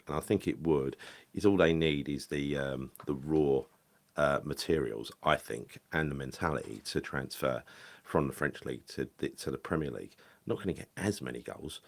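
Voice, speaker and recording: A man talking casually about football, rambling and correcting himself with lots of fillers. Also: rumbling low. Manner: rambling, hesitant, frequent fillers and self-corrections. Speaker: football podcast host. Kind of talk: casual solo football chat.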